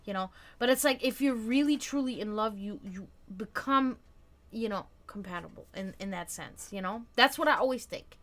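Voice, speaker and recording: A woman's voice: fairly high pitch, normal speed. Frank, musing woman in her thirties. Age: 30s. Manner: frank and reflective, often trailing off quietly. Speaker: stream host. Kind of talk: casual chat on a live stream.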